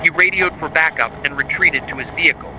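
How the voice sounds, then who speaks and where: A man reading a scripted horror narration styled as an official emergency bulletin, in a grave, measured, authoritative way. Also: fairly high pitch, noisy background. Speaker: narrator of a mock emergency broadcast.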